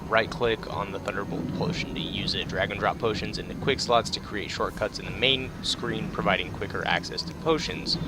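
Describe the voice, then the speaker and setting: A man's voice: low voice, heavy background noise. A man giving casual video game commentary in a laid-back, hesitant, rambling way, sometimes reading out tips. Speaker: game player commentating a playthrough. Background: game music.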